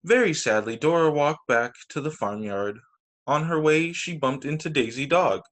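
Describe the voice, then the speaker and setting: Animated male storytime teacher. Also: on the high side, moderate pace. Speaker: teacher reading picture books to children. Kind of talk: storytime narration read aloud. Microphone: video call.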